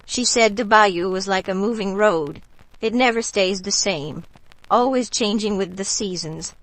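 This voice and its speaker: A woman playing a warm local bayou woman in a radio drama, telling stories in an unhurried, folksy, gently mystical way. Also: medium pitch, no room sound, lightly acted, low-quality recording. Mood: fond, reminiscing.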